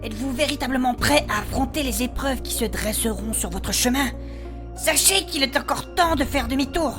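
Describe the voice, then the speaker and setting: A woman's voice: high voice, moderate pace, music in the background, natural voice. A woman playing a thoughtful, poised adventurer in a comic fantasy audio drama, articulate and theatrical. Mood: solemn.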